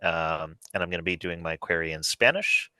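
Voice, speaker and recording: A software engineer from El Salvador, a man speaking in a relaxed, plain way on a call. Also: low voice, moderate pace, no room sound. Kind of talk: casual chat on video call.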